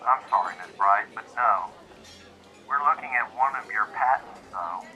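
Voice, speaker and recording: Through a very bright telephone filter comes a businessman in an audio drama. He is courteous and businesslike, apologetic yet firm. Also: on the low side, moderate pace, rough recording. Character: polite, businesslike company man, apologetic but firm. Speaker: actor.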